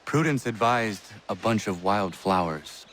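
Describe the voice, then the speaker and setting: An actor playing an earnest young frontier assassin, measured and plain-spoken, in a video game. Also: male voice, lowish voice, animated, fairly bright tone, a little hiss or hum. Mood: calm. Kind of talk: video game cutscene acting.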